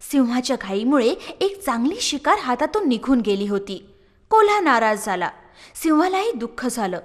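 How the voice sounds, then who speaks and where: Lady reading a kids story, high voice, really animated, big reverb on it. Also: moderate pace. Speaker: narrator. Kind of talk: expressive scripted children's storytelling.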